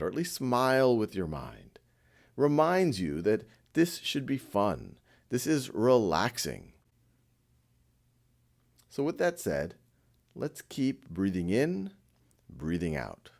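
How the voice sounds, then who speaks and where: Male meditation guide: gentle and reassuring, unhurried delivery with a lively, moving pitch. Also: gravelly.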